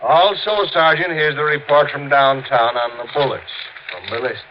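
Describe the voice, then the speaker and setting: An actor playing a dutiful, matter-of-fact police underling in a 1950s radio drama. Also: male voice, fairly high pitch, moderate pace, lightly acted. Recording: dull, noisy 1950s radio recording.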